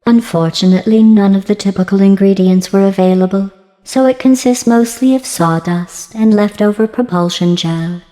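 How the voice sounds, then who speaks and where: A woman voicing a passive-aggressive female artificial intelligence in a video game, deadpan and mock-sweet, with synthetic robotic processing. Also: medium pitch, normal speed, big-room sound, soft background music, low-quality recording. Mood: dry sarcasm. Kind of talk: scripted video game dialogue.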